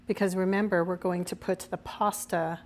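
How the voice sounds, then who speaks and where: Slightly raspy woman hosting a cooking show. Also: on the low side, level tone, moderate pace, darkish tone, a little hiss or hum. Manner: chatty, explains each step as she goes. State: recovering from a cold. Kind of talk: casual cooking demonstration.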